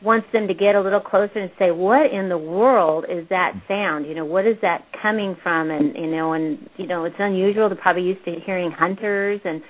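A woman guest researcher chatting in a relaxed, rambling way, stopping now and then to recall names. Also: fairly low pitch, fairly quick, few pauses. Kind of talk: casual interview talk. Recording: tinny phone line.

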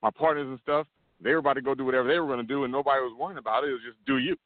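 A quick, fairly high-voiced man chatting casually, rambling and restarting as he walks. His voice comes through a tinny, phone-like line. The sound is dry and close. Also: no echo. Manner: rambling storyteller, many restarts. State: walking while talking. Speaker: podcast co-host. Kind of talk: casual chat.

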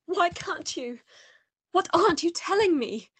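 An actress in a radio play playing an earnest, distraught gentlewoman, emotive and theatrical in her pleading. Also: very high voice, animated, measured pace, no room sound. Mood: troubled questioning.

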